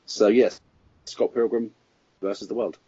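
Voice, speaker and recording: Male film critic, low husky voice, emphatic and opinionated, dry muffled podcast recording. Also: steady tone, a little slow, warm tone, dry recording. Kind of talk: casual podcast chat. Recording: dull lo-fi podcast audio.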